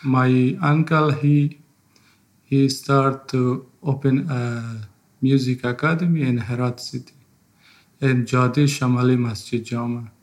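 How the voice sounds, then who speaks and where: A man in his thirties from Afghanistan with an Afghan accent and Farsi as his first language, telling his own story in halting English. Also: mid-range voice, fairly even intonation. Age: 30s. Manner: halting, non-fluent English. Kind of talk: personal spoken testimony.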